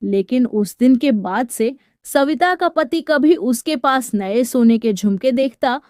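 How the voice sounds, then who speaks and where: A woman's voice: sits high, lively intonation, slow, a little mellow, dry recording, clean studio sound. A woman storyteller reading a scripted narration.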